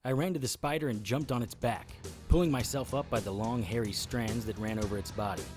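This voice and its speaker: A man's voice: medium pitch, fairly quick, close to an everyday voice. An actor playing a tough, wisecracking monster hunter, narrating his own story in a dry noir style for an audio drama. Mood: tense narration. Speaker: lead actor narrating in first person. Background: music bed under the voice.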